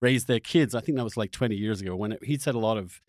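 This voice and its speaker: A man's voice: fairly low pitch, fast talker, a little mellow. A man hosting a reflective long-form interview, who feels his way through his thoughts and often restarts phrases mid-sentence. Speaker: podcast host interviewing a guest.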